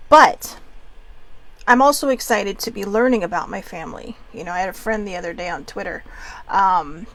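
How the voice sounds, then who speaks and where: A woman's voice: medium pitch, normal speed, low-quality recording. A woman from Hawaii in her thirties, doing a casual oracle card reading from home, chatty and rambling with frequent asides.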